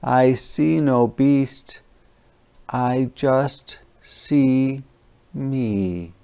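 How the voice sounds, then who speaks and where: A narrator reading a children's picture book aloud in an expressive, deliberate, dramatic way. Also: medium pitch, creaky, smooth.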